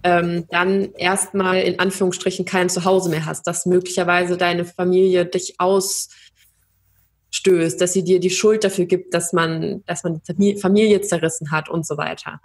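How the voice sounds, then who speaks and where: A young woman from Germany telling her own story in an interview, thoughtful, with many pauses and fillers. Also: on the low side, little pitch movement. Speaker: podcast guest. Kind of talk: personal interview.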